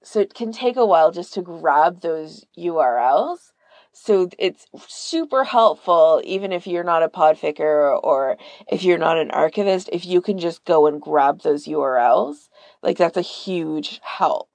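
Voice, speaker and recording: A woman's voice: fairly low pitch, expressive, unhurried, vocal fry, darkish tone, no echo. A woman explaining things in a casual podcast chat, informally and with plenty of filler words. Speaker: podcast guest and volunteer archivist.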